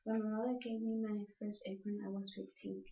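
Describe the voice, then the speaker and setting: A woman's voice: medium pitch, level tone, brisk, vocal fry. Young Salvadoran woman, echoey speech recording. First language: Spanish. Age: young adult. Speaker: student speaker. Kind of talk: prepared informative speech. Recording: echoey room, muffled dull sound.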